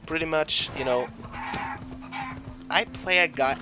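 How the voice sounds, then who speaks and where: A man's voice: fairly high pitch, measured pace, poor audio. A man who is not a native English speaker, giving casual, rambling let's play commentary, often interrupting himself. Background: video game music and sounds.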